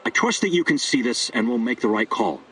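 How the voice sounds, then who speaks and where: A man's voice: medium pitch, sing-song, a little fast, fairly bright tone, slightly rough, recorded from a distance. An actor playing a scheming, persuasive male doctor in a video game, earnest and wheedling with hesitant turns. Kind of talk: video game dialogue acting.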